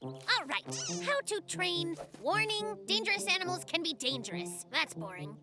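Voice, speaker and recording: A woman's voice: very high voice, huge pitch swings, normal speed, full character voice. A woman voicing a boastful, hyperactive young male fox in a children's cartoon, showy and bossy. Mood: self-assured. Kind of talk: animated children's cartoon acting. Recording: loud music under the voice.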